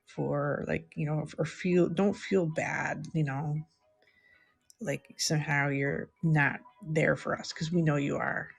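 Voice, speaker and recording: A middle-aged woman chatting softly and unhurriedly with viewers while she draws on a livestream. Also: low-pitched, vocal fry, slightly rough. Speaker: live-streaming artist. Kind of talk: casual livestream chat while drawing.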